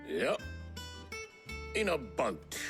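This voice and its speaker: An actor playing a chatty, cheeky boatman with a gravelly voice and a working-class English accent, droll and colloquial in a video game. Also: medium pitch, huge pitch swings, extremely slow, music in the background, full character voice. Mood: amused, easygoing. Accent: British, working-class English. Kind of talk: character voice acting in a video game.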